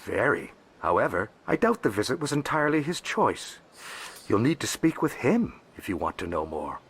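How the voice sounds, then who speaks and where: A security official in a sci-fi video game. His husky mid-range voice has lively intonation and a dry, measured manner. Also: medium pitch, exaggerated voice. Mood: dry, informative.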